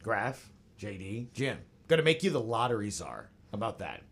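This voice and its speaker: A man's voice: lowish voice, sing-song, normal speed, fairly bright tone. A man hosting a sports podcast, casually moderating a roundtable and putting questions to the panel. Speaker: podcast host. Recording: clear background noise.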